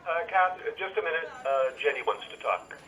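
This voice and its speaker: A man playing a mild, concerned husband asking gentle questions over the telephone in a radio play. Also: on the high side, lively intonation, moderate pace. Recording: muffled telephone line.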